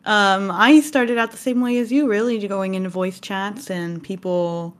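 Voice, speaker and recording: A woman's voice: medium pitch, fairly even intonation, unhurried. A woman hosting an interview, chatting casually and giggly with her guest. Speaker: podcast host.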